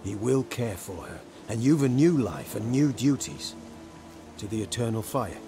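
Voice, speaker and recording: An actor playing a devout, firm officer of a zealous church in a video game, formal and composed. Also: male voice, mid-range voice, lively intonation, moderate pace, natural voice. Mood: solemn, firm. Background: game music.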